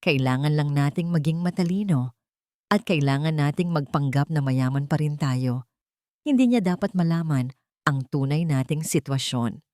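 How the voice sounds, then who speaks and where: A woman's voice: low voice, normal speed, a little mellow, no room sound, natural voice. A woman plays a domineering older mother-in-law in a storybook drama. She is greedy and scheming, and she speaks with commanding insistence. The recording is dry and close. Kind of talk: audio storybook drama dialogue.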